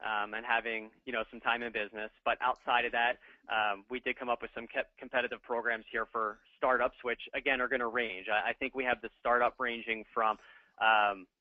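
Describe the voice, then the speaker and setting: A man explaining equipment financing in a steady, plain way during a webinar. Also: medium pitch, a little fast, no echo. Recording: tinny phone-like line.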